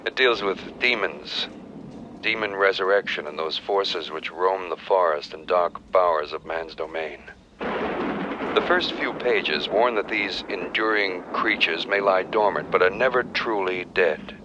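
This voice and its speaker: An actor playing a grave, scholarly archaeologist haunted by demons, speaking solemnly in a horror film's recorded journal. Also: male voice, low-pitched, lo-fi sound. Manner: solemn, measured, formal scholarly diction. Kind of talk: scripted horror film recorded-journal narration. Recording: noisy old reel-to-reel tape playback.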